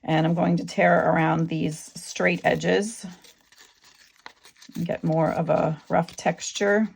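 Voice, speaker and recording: Middle-aged woman w/ sore throat, low gravelly voice, talks slow and halting thru a craft tutorial. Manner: relaxed, halting, with frequent ums. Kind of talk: casual craft tutorial narration.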